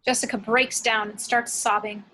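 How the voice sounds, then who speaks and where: A woman's voice: a little high, level tone, moderate pace, pushed and bright, rough recording. A woman reading action descriptions from a film script as scripted narration. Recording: clear background noise, home recording.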